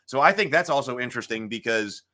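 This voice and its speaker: Bright-voiced man with a gravelly throat. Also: medium pitch, lively intonation, quick pace. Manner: clears throat often, thinks aloud with fillers. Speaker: live stream host. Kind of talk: casual morning live stream chat.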